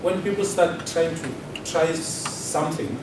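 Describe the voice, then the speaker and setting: A man with a slightly higher voice speaks earnestly to an audience. He is heard from a distance, in a hall with heavy echo. A steady noise sits behind him. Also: unhurried. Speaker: speaker at a public debate. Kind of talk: public speech. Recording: echoey hall from far off, noisy.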